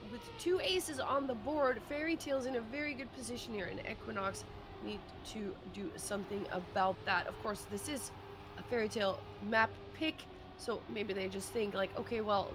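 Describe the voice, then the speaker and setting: A woman's voice: fairly high pitch, normal speed, background noise. A woman commentating live on a racing game, adding analysis as an esports co-caster.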